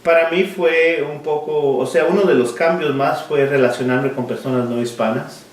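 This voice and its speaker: A man's voice: a little high, fairly even intonation, moderate pace. Guatemalan-born man, roomy distant recording. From Guatemala, raised in Miami. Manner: thoughtful, searching for words, English words mixed in. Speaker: interviewee. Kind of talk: oral history interview. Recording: roomy, mic at a distance, faint noise.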